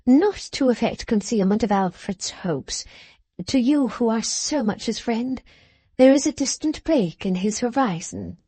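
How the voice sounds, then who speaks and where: A woman reading aloud and voicing a gushing, scheming society wife who pleads slowly and sweetly. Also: a little high, expressive, dark tone, breathy edge, dry recording, pitched above the performer's own voice. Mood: confiding. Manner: slow, pleading, sugary. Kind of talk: audiobook character voice.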